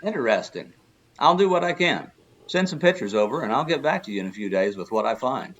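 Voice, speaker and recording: A man's voice: mid-range voice, very animated, fairly quick, fairly bright tone, lo-fi sound. A man playing a good-natured older history professor and adventurer who explains things in a children's radio drama. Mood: friendly explaining. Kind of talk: kids' radio drama acting.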